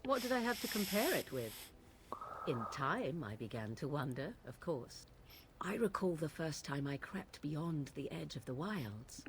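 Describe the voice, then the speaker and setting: A woman's voice: low-pitched, very animated, unhurried, background noise, exaggerated voice. An actress playing an aloof, haughty young witch of the wilds in a fantasy video game, with precise archaic phrasing. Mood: wistful reminiscence.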